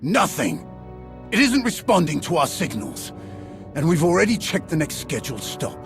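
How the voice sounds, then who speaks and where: An actor playing a grim, impatient male warrior, talking urgently and with agitation. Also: normal speed. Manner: urgent and agitated, lively swings in pitch. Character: grim, impatient male warrior haunted by his old master. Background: music score.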